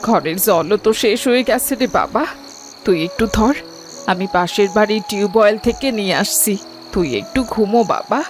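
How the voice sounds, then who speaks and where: A careworn cartoon village mother, acted by a woman, tender and sorrowful. She speaks soothingly at a middling pitch. Faint music runs underneath. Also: normal speed, close to an everyday voice. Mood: soothing, worried. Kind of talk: melodramatic cartoon dubbing.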